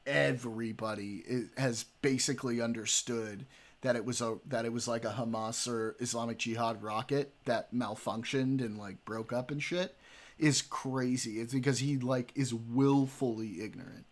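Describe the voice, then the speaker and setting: A man's voice: medium pitch, fairly even intonation, moderate pace. A young adult man streaming, talking in a loose, joking, profane way while reacting to a debate. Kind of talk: casual livestream commentary.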